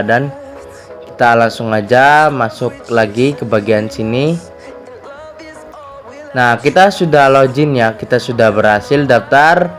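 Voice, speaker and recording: Slightly hoarse man, chatty tutorial host. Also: medium pitch. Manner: chatty, repetitive verbal tags. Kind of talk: casual screen tutorial narration.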